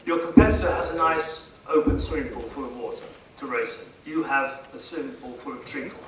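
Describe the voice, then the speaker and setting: A man delivering a formal public speech as a guest speaker, emphatic and rhetorical, pausing for effect. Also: mid-range voice. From India. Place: conference hall, addressing a seated audience. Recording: echoey hall, distant mic, faint noise.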